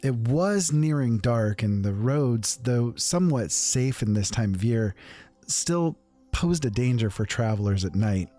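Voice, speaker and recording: A man telling a first-person fantasy story in a calm, unhurried, conversational way. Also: lowish voice.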